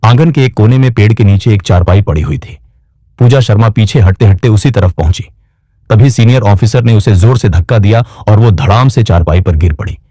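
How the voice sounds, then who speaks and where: A man's voice: fairly low pitch, fairly quick, dark tone, dry recording. A man narrating a scripted story in a dramatic style as a storyteller.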